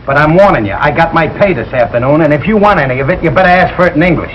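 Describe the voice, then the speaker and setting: An actor playing a blustering, jealous working-class American husband in a 1940s radio sitcom, with folksy, exasperated grammar-bending talk. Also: male voice, a little high, expressive, very fast, lightly acted. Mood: grumpy. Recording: dull, noisy old radio broadcast.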